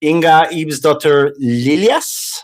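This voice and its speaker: A man with a Mexican accent co-hosting a film industry podcast, giving measured, opinionated analysis in casual chat. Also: on the high side, animated. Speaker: podcast co-host.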